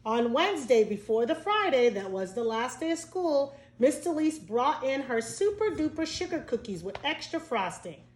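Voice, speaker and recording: Animated woman storyteller in an echoey room. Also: sits high, normal speed, few pauses. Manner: expressive, addresses young listeners directly. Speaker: teacher reading aloud to children. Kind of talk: storybook read-aloud with asides.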